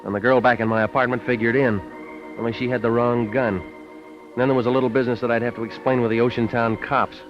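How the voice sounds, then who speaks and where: An actor playing a wisecracking private detective, deadpan and clipped, narrating his case in a vintage radio noir drama. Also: medium pitch, quick pace, music in the background, lightly acted. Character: wisecracking, hard-boiled male private detective. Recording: dull vintage radio recording.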